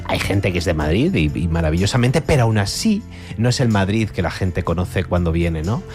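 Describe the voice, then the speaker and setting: A man's voice: low-pitched, big pitch swings, moderate pace, darkish tone, faint music behind. A young man from Almería with a mostly neutral Spanish accent, a guest author reflecting aloud in a radio interview with many restarts and "¿no?" tags. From Spain. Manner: reflective, with frequent restarts and tag questions.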